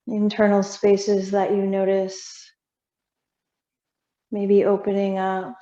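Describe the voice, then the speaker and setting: A woman yoga teacher leading a guided meditation in a soothing, unhurried, gently instructing way with long pauses. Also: medium pitch, completely flat delivery. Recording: roomy echo, slightly muffled sound.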